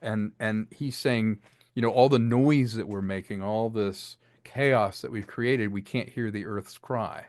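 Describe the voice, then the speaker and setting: A man's voice: on the low side, a little slow, darkish tone, dry recording. Chatty man in a dry-sounding interview. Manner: chatty, enthusiastic, acts out bits of dialogue. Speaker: audio drama writer and director being interviewed. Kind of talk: casual interview talk.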